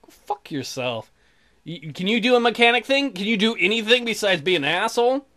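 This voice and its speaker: A man's voice: sits high, big pitch swings, brisk, bright, forceful tone. A man doing casual, crude and sarcastic let's play commentary, swearing and reading game text aloud. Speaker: gaming video host. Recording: music behind the voice. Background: game music.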